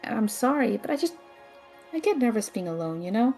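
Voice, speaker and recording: A woman's voice: a little high, very animated, brisk, a little mellow, faint music behind. A young woman playing a stressed, sarcastic student who is a loyal friend, in a naturalistic audio drama. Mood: apologetic, anxious. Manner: sarcastic, exasperated, swearing.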